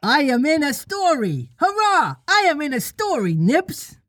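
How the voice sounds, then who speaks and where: Eager little boy , acted by an adult reader: high, swooping, exclamatory, storybook style. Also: sing-song, a little slow, no echo, studio quality. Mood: excited. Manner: eager, exclamatory childlike delivery. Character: excitable, interrupting little boys in a storybook.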